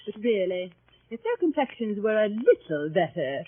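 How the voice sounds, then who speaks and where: An actress playing a coy, catty middle-aged spinster aunt, gushing and affected in 1930s radio style. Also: female voice, mid-range voice, very animated, normal speed, husky. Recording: muffled, dry old radio recording.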